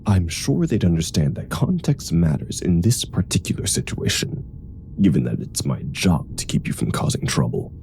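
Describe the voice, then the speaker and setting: Woman acting as sardonic superheroine, very low dark voice, dry grumbling, stubborn, comedy audio drama, faint rumbly noise. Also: big pitch swings, normal speed, creaky, full character voice. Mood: grumbling threat. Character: exasperated, sardonic female superhero, stubborn hospital patient. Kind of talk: comedic audio roleplay banter.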